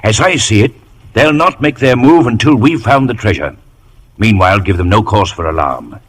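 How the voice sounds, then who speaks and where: Animated, resolute ship's captain. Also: male voice, on the low side, moderate pace. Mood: grave resolve. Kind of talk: old radio drama acting. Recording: noisy old radio broadcast recording.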